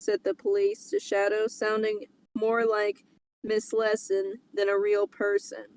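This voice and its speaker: A woman's voice: mid-range voice, monotone, measured pace, darkish tone, a little hiss or hum, poor audio. A woman casually reading a story aloud and commenting on it as a co-host.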